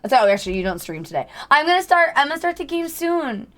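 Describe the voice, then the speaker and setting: A hoarse woman streamer chatting casually with her viewers in a put-on Southern US accent, greeting and thanking them by name. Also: sits high, big pitch swings, fast talker, fairly bright tone. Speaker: livestreamer. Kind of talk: casual livestream chat with viewers.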